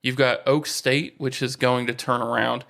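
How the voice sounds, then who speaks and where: A man's voice: medium pitch, level tone, normal speed, vocal fry, a bit bright. An American man co-hosting a sports podcast, arguing his points emphatically in casual, informal banter.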